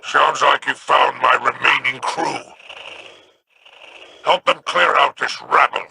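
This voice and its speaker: A man voicing a greedy, sly alien crime boss in a video game, speaking in a haughty, scheming tone with a gravelly growl. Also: mid-range voice, steady tone, slow, background noise.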